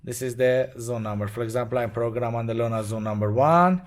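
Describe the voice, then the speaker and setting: A man who is not a native English speaker gives an unscripted step-by-step product tutorial in short, simple, halting phrases. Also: medium pitch. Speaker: product demonstrator. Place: indoors at a demo bench with devices.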